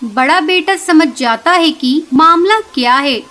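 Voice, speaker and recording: A woman's voice: sits high, lively intonation, slow, sharp tone. A woman storyteller reading scripted narration for a cartoon moral tale. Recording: music behind the voice.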